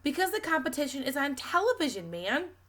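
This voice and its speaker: A woman voicing a cocky, excitable fifth-grade boy eager for fame in a storybook reading. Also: sits high, brisk, voice pushed up. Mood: boastful, excited. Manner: cocky, emphatic, exclaiming.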